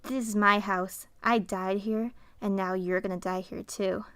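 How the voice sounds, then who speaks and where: A woman playing a vengeful, menacing female spectre in a radio drama, delivering slow, deliberate threats. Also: medium pitch, steady tone, a bit bright, a little hiss or hum.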